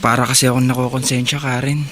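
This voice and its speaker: A man's voice: medium pitch, level tone, fast, fairly bright tone, faint music behind, lightly acted. A man acting as a guilt-ridden, protective older brother in a Tagalog radio drama, speaking hesitantly and heavy-heartedly. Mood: guilty, sorrowful.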